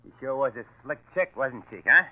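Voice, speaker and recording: A man acts a wisecracking American photographer in an old radio play. His mid-pitched voice is casual and slangy, on a dull vintage recording. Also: expressive, normal speed, no room sound, natural voice. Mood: breezy. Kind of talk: 1940s radio crime acting. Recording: muffled vintage 1940s radio broadcast.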